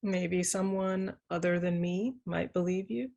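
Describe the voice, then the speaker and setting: A woman's voice: on the low side, steady tone, unhurried, creaky, dark tone, dull audio. A young woman playing a supportive, level-headed student friend in a staged reading, reassuring with a touch of hesitation. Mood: cautious, supportive.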